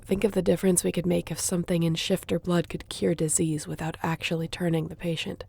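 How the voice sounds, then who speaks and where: A woman's voice: on the low side, flat delivery, fairly quick, vocal fry, dark tone, breathy edge. A woman playing a sassy, competitive young shifter in an audio roleplay, talking in a casual, teasing way. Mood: casual explaining. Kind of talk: audio roleplay acting, addressing the listener.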